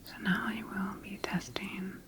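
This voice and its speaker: A woman voicing a methodical scientist in an ASMR sci-fi medical roleplay, speaking in a measured, formal and gently instructing way. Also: mid-range voice, level tone, heavy background noise, natural voice. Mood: clinical, calm. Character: clinical, methodical female scientist examining her bioengineered monster creation.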